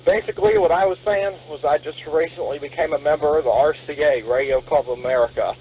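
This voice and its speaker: A man's voice: a little high, fast talker. A man making a casual, rambling, hesitant check-in as an amateur radio operator over a radio link. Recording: phone-like radio link with faint hum.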